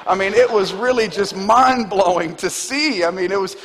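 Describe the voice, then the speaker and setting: A man in his thirties, a pastor, preaching an animated sermon on a church stage. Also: sits high, normal speed. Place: large church stage, indoors. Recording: long hall reverb.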